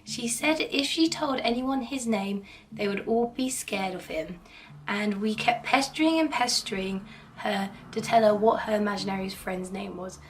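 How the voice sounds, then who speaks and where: Hoarse woman narrating spooky tales. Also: medium pitch. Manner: cheerful, chatty, playfully spooky. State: hoarse from a cold. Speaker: horror storytelling channel host. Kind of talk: casual spooky story narration. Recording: slightly distant mic, faint background noise.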